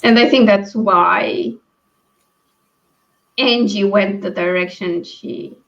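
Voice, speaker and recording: A woman hosting a casual book discussion over a video call, thinking aloud in halting phrases. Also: mid-range voice, gravelly. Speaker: book read-along live stream host. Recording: dull, lo-fi stream audio.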